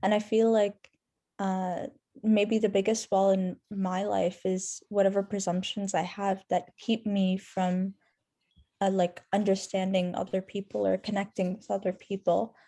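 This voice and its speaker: A woman's voice: mid-range voice, level tone, unhurried, dark tone. A woman sharing a personal reflection in a thoughtful, tentative way as a workshop participant.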